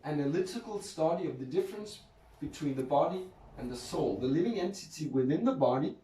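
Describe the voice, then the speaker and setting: A man's voice: on the high side, darkish tone. A man giving an informal spiritual talk to a group, chatty and joking, often restarting his sentences. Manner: conversational, jokes and laughs, restarts often. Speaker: spiritual speaker. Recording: echoey room, voice from far off mic.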